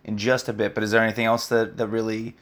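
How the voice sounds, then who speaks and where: A man's voice: lowish voice, level tone, fast. A man hosting a casual interview, easygoing as he asks follow-up questions. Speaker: interview host.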